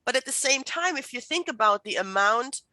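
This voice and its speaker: German-born woman teaching a writing class on a video call, bright clear voice, dry sound, lots of "you know". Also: a little high, normal speed, no echo. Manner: chatty, animated, many fillers and restarts. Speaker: writing instructor. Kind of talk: online workshop lecture.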